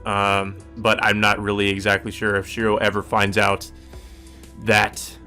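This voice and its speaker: A man's voice: on the low side, completely flat delivery, unhurried, sharp tone, faint music behind. A man giving casual, rambling commentary as he plays through a visual novel. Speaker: let's player reading a visual novel aloud with commentary. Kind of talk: casual game commentary mixed with reading aloud.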